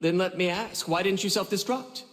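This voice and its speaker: A man's voice: high-pitched, moderate pace, a bit bright. A man voicing a smug, flippant, condescending schemer in an anime game dub, with a theatrical, mocking drawl. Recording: long hall reverb, music underneath.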